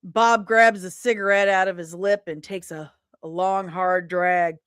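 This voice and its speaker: A woman's voice: lowish voice, fairly even intonation, measured pace, fairly bright tone, clean tone, no echo, studio quality. A woman with a playful, theatrical manner, hosting a livestream and reading aloud from her comic script. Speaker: host and comic author.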